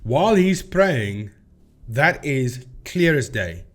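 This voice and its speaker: A man's voice: mid-range voice, sing-song, takes it slowly. A man hosting a debunking video, explaining his points emphatically and repeating phrases for stress as he walks through a demonstration. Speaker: video host debunking paranormal claims. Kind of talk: explanatory commentary with screen demonstration.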